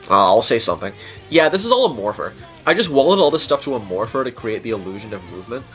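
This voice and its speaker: A man's voice: medium pitch, big pitch swings, fast, a bit bright, lo-fi sound. A man giving informal, rambling, unscripted commentary on a game level he built. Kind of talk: casual unscripted gameplay commentary. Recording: music behind the voice. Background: game music.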